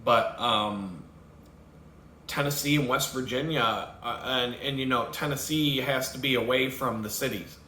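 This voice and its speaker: A man's voice: mid-range voice, unhurried, sharp tone. A man hosting his own video, talking in a rambling, profane rant, swearing heavily and laughing at his own jokes. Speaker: vlogger host. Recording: roomy with faint background noise.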